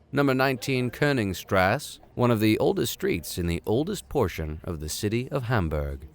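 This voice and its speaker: A man reading classic literature aloud in an expressive, theatrical and playful way. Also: on the low side, dry recording. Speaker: audiobook reader. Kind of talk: expressive narration of classic literature with casual asides.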